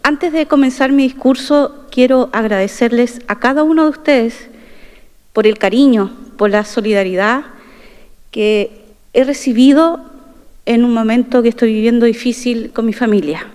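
A woman's voice: a little high, moderate pace, darkish tone, clean tone. A middle-aged woman delivering a formal, earnest speech at a podium microphone in a large assembly chamber. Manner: earnest and proud, stressing key phrases. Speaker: constitutional convention delegate. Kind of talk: formal opening speech, partly read aloud. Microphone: desk microphone at a podium. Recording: long reverb of a large chamber.

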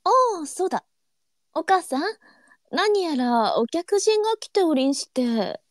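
A woman playing a gentle, unflappable senior courtesan in a period audio drama, teasing and speaking in archaic courtesan dialect. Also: high-pitched, very animated, unhurried, dry recording, studio quality. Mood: playful, sly.

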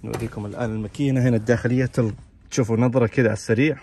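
A man's voice: medium pitch, fairly even intonation, very fast, dark tone. A man, giving an informal walk-around commentary as a car auction presenter. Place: large indoor car showroom and workshop.

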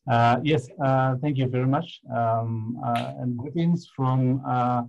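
A man from Zimbabwe presents his research over a video call at a mid pitch, hesitating often and filling gaps with "uh". The audio is dull and lo-fi, typical of call compression. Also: steady tone, slow pace, vocal fry, a little mellow, slight background noise. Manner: hesitant, many "uh" fillers. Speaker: guest speaker, economic historian. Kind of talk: academic online presentation.

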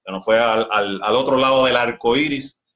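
A man's voice: medium pitch, moderate pace, a bit bright. A middle-aged man from Puerto Rico with a Puerto Rican accent, a musician guest chatting casually and exuberantly in a small room. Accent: Puerto Rican Spanish. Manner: exuberant, laughs often. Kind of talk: casual interview chat. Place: small indoor studio room.

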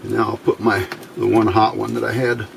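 Guy with a lowish voice doing a diy electronics demo, explains in short measured bits, bit of background noise. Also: gravelly. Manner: measured, explanatory, pausing between short phrases. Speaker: electronics hobbyist demonstrator. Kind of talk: unscripted hands-on bench demonstration. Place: indoors at a workbench. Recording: steady background room noise.

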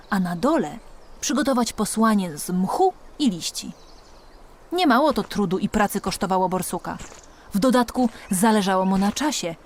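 A woman's voice: mid-range voice, slight background noise. A woman reading a children's storybook as an audiobook narrator, expressively and with dramatic emphasis.

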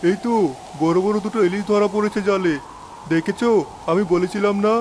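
A man's voice: high voice, steady tone, a little fast, slight background noise, lightly acted. A man acting a determined, protective village fisherman father in a cartoon drama, with an emphatic delivery. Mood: reassuring. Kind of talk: dramatic Bengali animated story acting. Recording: faint rain and storm ambience.

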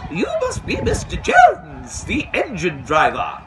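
Man as a large well-bred storybook lady, very high, swooping, hooting, gushing, outdoors with bustle behind. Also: huge pitch swings, slow pace, gravelly, crowd noise, heavily acted. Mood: loud hooting. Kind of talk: storybook reading. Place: outdoors at a railway.

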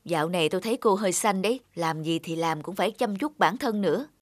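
A man's voice: sits high, lively intonation, fast talker, no room sound. A man playing a cheerful, generous young neighbour in a cartoon, upbeat and caring. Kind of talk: cartoon dialogue.

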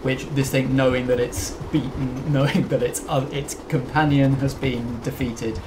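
A man's voice: medium pitch, level tone, normal speed, background noise. A man narrating as game master in a tabletop roleplay, descriptive and chatty with frequent fillers.

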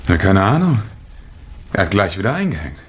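An actor playing a bewildered, flustered husband with a puzzled, hesitant manner in a 1960s German radio crime drama. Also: male voice, low voice, sing-song, normal speed. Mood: baffled, flustered. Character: bewildered husband, flustered late-night visitor.

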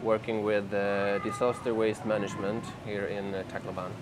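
A man's voice: fairly low pitch, little pitch movement, normal speed. A man in his thirties with a Swedish accent, a civil engineer introducing himself in an outdoor interview. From Sweden. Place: outdoor balcony in town. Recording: loud urban traffic hum behind.

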